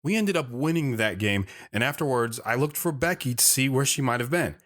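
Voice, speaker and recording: A man's voice: mid-range voice, lively intonation, fairly quick, background noise, natural voice. A man playing a plain-spoken guy recounting his youth as first-person storytelling in an audio drama, earnest and matter-of-fact. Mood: earnest narrating.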